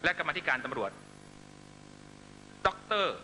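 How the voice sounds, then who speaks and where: A middle-aged man giving an impassioned political rally speech in emphatic, short measured phrases into a stage microphone. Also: on the high side, big pitch swings, pushed and bright. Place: large night-time outdoor rally stage. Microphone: stand microphone on stage. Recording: noisy live rally public-address broadcast with hum.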